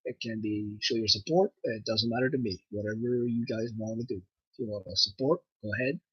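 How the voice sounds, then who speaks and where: A man's voice: fairly low pitch, a little fast, slightly rough, no room sound, lo-fi sound. A young man from Nova Scotia with a French Canadian accent, chatting casually and rambling on his livestream with lots of fillers. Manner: rambling, relaxed, with frequent fillers. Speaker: livestream host.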